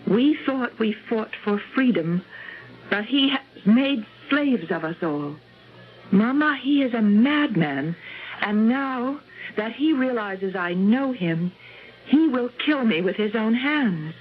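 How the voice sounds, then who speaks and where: A principled young wife, speaks firmly in a somewhat high voice. The style is old radio drama. The sound is narrow and phone-like, with faint music behind. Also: female voice, lively intonation, takes it slowly. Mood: grave. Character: principled, outspoken young American wife, firm and skeptical.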